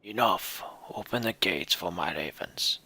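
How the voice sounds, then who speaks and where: A man voicing a gruff, menacing giant mercenary leader for a fantasy game, curt and mistrustful, with a gravelly, husky voice. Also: deep voice, measured pace, pushed and bright, pitched below the performer's own voice, full character voice. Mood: stern, mistrustful. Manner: curt, threatening.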